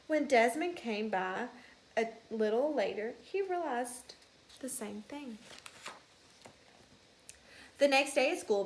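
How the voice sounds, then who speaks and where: Slightly high woman narrating a storybook. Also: rough recording. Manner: deliberate, emphatic storytelling. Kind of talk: expressive children's storybook narration.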